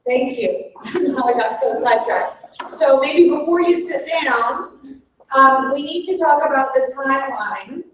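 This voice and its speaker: A woman's voice: high voice, animated, takes it slowly, slight background noise. A woman facilitating a public workshop meeting, giving directions to the participants. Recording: dull, very echoey room, distant mic.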